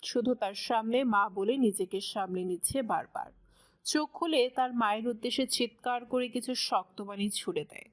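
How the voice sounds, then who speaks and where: A woman's voice: a little high, moderate pace. A woman reading scripted narration as the storyteller of a dramatic romance story.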